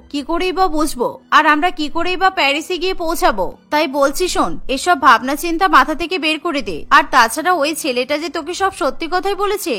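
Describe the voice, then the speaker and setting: A woman's voice: sits high, pushed and bright. A woman voicing a concerned elder sister in a cartoon, admonishing and pleading. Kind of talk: Bengali cartoon dialogue.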